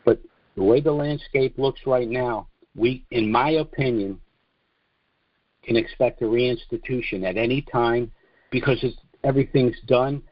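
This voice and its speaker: An American man hosts a conference call in a dull, lo-fi recording with very little room sound. His mid-range voice moves deliberately through a financial briefing. He halts now and then and stretches out phrases for emphasis. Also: mid-range voice, dry recording. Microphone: conference call line.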